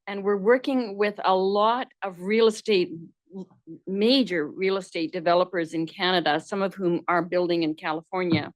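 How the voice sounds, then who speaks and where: A woman's voice: fairly low pitch, steady tone, normal speed. A woman co-founder on a conference panel tells a chatty personal story, then turns businesslike about her company. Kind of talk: panel talk with personal anecdote. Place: conference room with audience.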